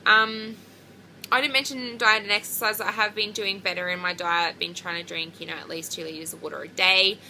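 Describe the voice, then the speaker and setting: A woman's voice: medium pitch, steady tone, fairly quick, pushed and bright, slight background noise, poor audio. A woman, chatting casually to camera about her pregnancy in a rambling way with lots of ums and likes. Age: 20s. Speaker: pregnancy vlogger.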